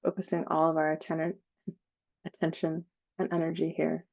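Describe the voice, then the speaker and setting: A woman leading a guided meditation in a slow, soothing manner, with long pauses between phrases. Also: sits low, completely flat delivery, vocal fry, darkish tone, dull audio.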